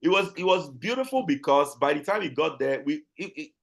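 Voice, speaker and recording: Nigerian-accented man, lively and animated. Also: a little high, fairly quick, creaky. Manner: animated, many restarts and repeated words. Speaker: guest, business owner. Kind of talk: casual interview chat.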